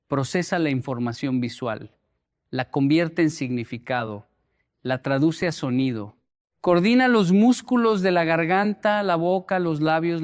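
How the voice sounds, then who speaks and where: A man speaking as a motivational speaker, deliberate and emphatic, repeating phrases for effect as he explains and tells stories. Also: on the high side. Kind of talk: motivational talk with storytelling.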